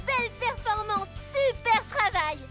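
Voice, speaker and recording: An eager little cartoon character with a very high voice. The delivery is exclamatory but unhurried, with clear music playing behind. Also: female voice, expressive. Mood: eager cheering. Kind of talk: French-dubbed children's cartoon acting.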